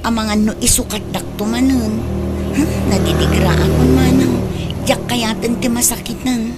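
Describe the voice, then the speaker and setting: A woman's voice: a little high, fairly even intonation, moderate pace, natural voice. A woman playing a hesitant, reluctant younger sister with an Ilocano accent in a comedy radio drama, speaking in a questioning way. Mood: reluctant, doubtful. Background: loud music.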